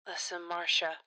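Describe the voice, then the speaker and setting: A woman playing a principled, defiant politician in an audio drama, speaking in a measured, earnest way. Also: lowish voice, bright, forceful tone, full character voice, rough recording.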